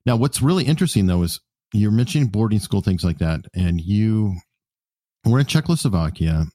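A man with a low, dark and relaxed voice acts as interviewer. He speaks conversationally and tends to explain ideas as he goes. The sound is close and dry, free of room echo. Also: moderate pace.

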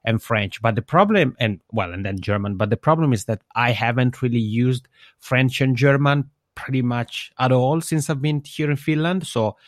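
A man with Italian as his mother tongue talks as an interview guest in a mid-pitched voice. He is thoughtful and explanatory, reworking his sentences as he goes. The sound is close and dry. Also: moderate pace, dry recording. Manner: reflective, self-correcting, explanatory. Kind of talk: conversational interview answers.

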